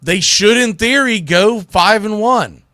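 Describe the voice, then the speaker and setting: A man's voice: sits high, takes it slowly, bright, forceful tone, dry recording, studio quality. A man hosting a sports talk show, giving casual, opinionated commentary in colloquial language, speaking straight to his listeners.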